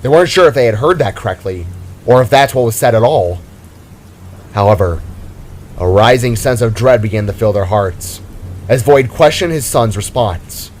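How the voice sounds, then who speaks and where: A man's voice: mid-range voice, expressive, moderate pace, a little mellow, a little hiss or hum, poor audio. A man reading scripted dramatic narration as the author of the story.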